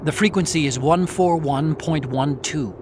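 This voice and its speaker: A man's voice: on the high side, measured pace, noisy background, lightly acted. An actor in a video game cutscene playing an earnest, helpful male tech ally, concerned and inquisitive. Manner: concerned, inquisitive, eager to help. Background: music.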